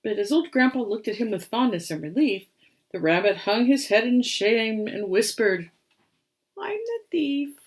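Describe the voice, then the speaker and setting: A woman giving a dramatic reading of a children's storybook in a theatrical, expressive manner. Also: medium pitch, normal speed.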